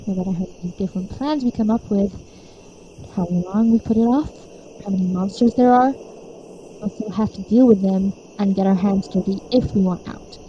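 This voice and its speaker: Very mellow, hesitant young woman, acted out, muffled. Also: medium pitch, a little hiss or hum, natural voice. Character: hesitant, apologetic young female teammate. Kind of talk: fan-dub webtoon voice acting.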